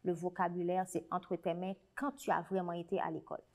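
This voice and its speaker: A woman's voice: on the low side, flat delivery, fairly quick. Earnest Haitian woman recalling her life. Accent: Haitian French. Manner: earnest, reflective, with frequent restarts. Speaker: guest, writer and special educator. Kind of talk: conversational interview.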